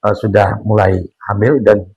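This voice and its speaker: A man in his sixties with an Indonesian accent, an interviewed father speaking earnestly about his faith and family, pausing with fillers and rising on emphatic points. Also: on the low side, fairly even intonation, a little mellow, slightly rough, no room sound, lo-fi sound. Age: 60s. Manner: earnest, preachy, pauses with fillers, rises emphatically on key points. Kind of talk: casual family conversation.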